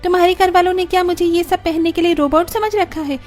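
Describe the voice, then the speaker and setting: A woman's voice: very high-pitched, steady tone, fast talker, a little mellow, soft background music. A woman playing a spoiled, defiant young rich bride in a family story, snappy and complaining. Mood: irritated, impatient. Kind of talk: dramatised Hindi family story acting.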